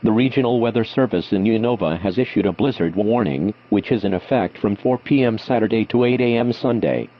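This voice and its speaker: A man's voice: fairly low pitch, expressive, a little fast, few pauses. A man reading a scripted emergency weather bulletin in a formal, automated-sounding delivery, like a weather radio announcer. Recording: muffled lo-fi weather radio, humming noise.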